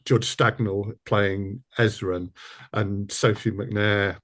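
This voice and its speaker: Lowish-voiced man, hesitant interviewer. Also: flat delivery, slow, fairly bright tone. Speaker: producer, sound designer and interviewer. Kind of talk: casual interview conversation.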